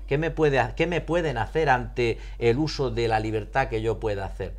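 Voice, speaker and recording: A man's voice: medium pitch, normal speed. A man giving explanatory interview answers in a didactic way, posing and repeating rhetorical questions and often searching for words. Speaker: psychologist being interviewed.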